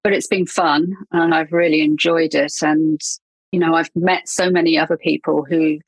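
A middle-aged woman with an English accent talks casually as a podcast guest, her low voice husky and breathy. She laughs often and wanders through her sentences with fillers and restarts. The sound is close and dry. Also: flat delivery, moderate pace, no room sound. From England. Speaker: podcast guest, marketing consultant. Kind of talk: casual interview chat.

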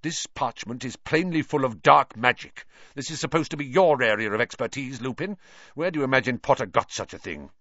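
A man's voice: medium pitch, sing-song, drawn-out words, hardly any pauses, pushed and bright, husky, dry recording, highs cut off, voice pushed up, full character voice. A man reading, voicing a furious, contemptuous male professor who speaks in clipped, raised bursts. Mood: angry. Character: furious sneering male professor, cold, older adult.

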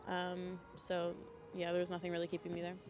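A woman's voice: lowish voice, completely flat delivery, fairly quick. Young woman chatting casually over music. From Europe, mainly Switzerland, Belgium and Scandinavia. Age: young adult. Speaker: podcast guest. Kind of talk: casual conversational storytelling.

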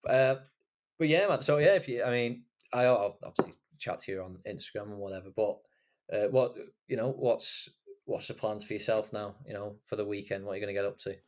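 Chatty young English man, low voice. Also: fairly quick, darkish tone. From England. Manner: rambling, many fillers and restarts. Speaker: podcast host. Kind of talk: casual chat.

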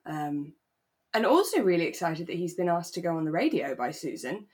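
A woman's voice: lowish voice, very animated, a little fast, darkish tone, slightly rough. Chatty British woman co-hosting a podcast. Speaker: podcast co-host. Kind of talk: casual chat about a radio drama.

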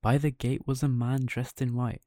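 Guy telling a kids bedtime story, mellow voice, mid pitch, really dry sound. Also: level tone, moderate pace, dry recording. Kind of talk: bedtime story narration for children.

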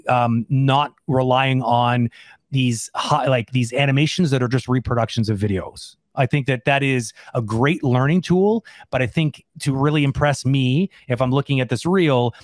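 A man's voice: mid-range voice, dry recording. Casual, emphatic man in a dry room. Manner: rambling, emphatic, informal phrasing. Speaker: animator and Q&A co-host. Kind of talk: casual unscripted Q&A discussion.

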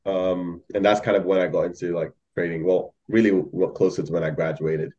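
A man's voice: sits low, fairly even intonation, a little fast, a little mellow, dull audio. A young man who grew up in Malaysia, chatting casually as a podcast guest, rambling with many fillers and laughs. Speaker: podcast guest, algo trader. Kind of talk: casual chat.

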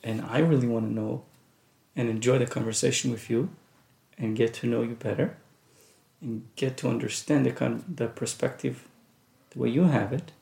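A man's voice: mid-range voice, fairly even intonation, moderate pace, creaky, dark tone. A middle-aged man co-hosting a casual family podcast, talking in an earnest, reflective way. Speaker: podcast co-host and father. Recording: echoey room.

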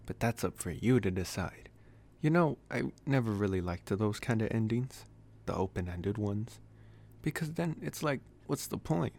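Low-voiced, intimate young male vampire, played by a man. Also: animated, normal speed, vocal fry. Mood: musing. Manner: soft, intimate, hesitant phrasing with sighs and chuckles. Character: possessive, teasing young male college vampire, gently reassuring. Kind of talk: ASMR boyfriend roleplay.

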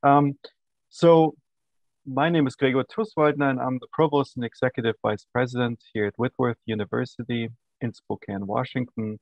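Guest read: middle-aged Austrian-accented man, warm, measured, dry muffled recording. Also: medium pitch, fairly even intonation, no room sound. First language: German. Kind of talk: casual interview chat. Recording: dull lo-fi audio.

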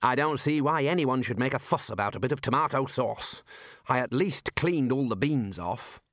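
A man's voice: medium pitch, lively intonation, normal speed, a bit bright, dry recording, pitched above the performer's own voice. A fussy old university wizard from a fantasy storybook, performed by a man. He sounds flustered and grumbles in his own defence. The intonation moves around a lot and the sound is close and dry. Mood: sulky. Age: older. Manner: flustered, self-justifying, grumbling. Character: defensive, fussy elderly male wizard dean.

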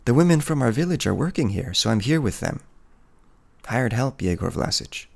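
Guy doing a shy peasant woman in a story, wistful n pleading, pitched up soft voice. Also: big pitch swings, moderate pace, creaky, slightly rough, heavily acted. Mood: shy, meek.

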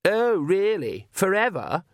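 Audiobook vampire daughter, played by a man: high, swooping voice, whiny, sarcastic, rebellious. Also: animated, no echo, clean studio sound. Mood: petulant, whining. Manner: whining, sarcastic, drawn-out complaint. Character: petulant, sneering young female vampire, rebellious daughter.

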